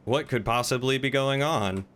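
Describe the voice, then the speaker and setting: A man's voice: medium pitch, normal speed, a bit bright. A man chatting casually as he streams a game, talking to viewers and other players.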